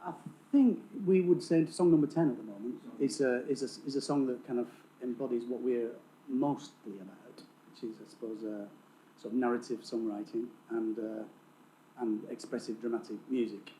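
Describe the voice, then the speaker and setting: A man's voice: medium pitch, normal speed, warm tone, a little hiss or hum. A man from Lancashire, a band member chatting casually in an interview, hesitant and wry, in an echoey, slightly dull recording. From Lancashire, England.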